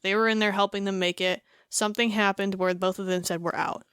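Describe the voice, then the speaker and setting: A woman's voice: medium pitch, fairly even intonation, brisk, fairly bright tone, clean tone, no room sound. A woman co-hosting a podcast in casual chat, emphatic and rambling, acting out imagined dialogue. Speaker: podcast co-host.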